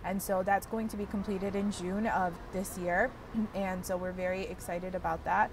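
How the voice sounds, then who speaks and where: A woman's voice: mid-range voice, fairly even intonation, normal speed, distant mic, background noise. A woman in her twenties from Michigan, answering interview questions casually with many hesitant filler words. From Michigan, USA. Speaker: interviewee, medical student.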